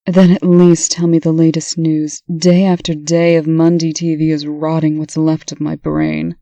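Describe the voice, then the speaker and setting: A woman's voice: sits low, flat delivery, moderate pace, vocal fry, warm tone, no echo. A woman playing a weakened, testy, dry-witted female patient in a comic dub. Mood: bored, wry.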